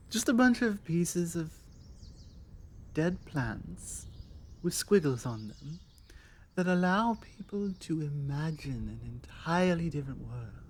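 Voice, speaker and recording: A woman's voice: deep voice, a little hiss or hum. Woman playing a flirty British vampire, birdsong behind. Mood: musing, playful. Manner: teasing, coaxing, with deliberate pauses. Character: forward, flirtatious female vampire, confident and playfully bossy. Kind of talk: ASMR roleplay, intimate one-sided conversation. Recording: steady outdoor ambience with birdsong. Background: birdsong and outdoor ambience.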